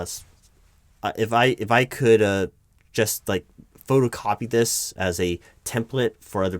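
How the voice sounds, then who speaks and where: A man playing a flustered, deferential recruiting manager in an interview roleplay, halting, stammering and awkwardly polite as he talks. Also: mid-range voice, no echo, lightly acted. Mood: puzzled, hesitant. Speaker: roleplay performer. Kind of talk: spoken audio roleplay, one-sided job interview.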